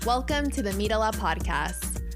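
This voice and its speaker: A woman hosting an interview podcast, speaking with bright enthusiasm and heaping praise on her guest. Her voice sits at an everyday middle pitch, and soft music plays faintly behind the opening. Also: animated, normal speed. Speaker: podcast host. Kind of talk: scripted intro then interview questions.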